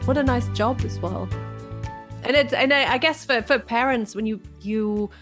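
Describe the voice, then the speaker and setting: A woman's voice: on the high side, moderate pace, fairly bright tone, faint music behind. A woman, middle-aged, hosting a casual conversational interview and often repeating words as she feels for the phrase. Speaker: podcast host.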